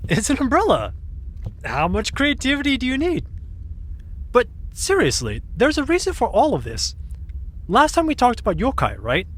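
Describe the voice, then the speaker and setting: A woman's voice: on the high side, huge pitch swings, normal speed, pauses often. A woman playing an easygoing, slightly sheepish young woman in an audio drama, explaining spirit lore in a matter-of-fact, teasing way. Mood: explanatory.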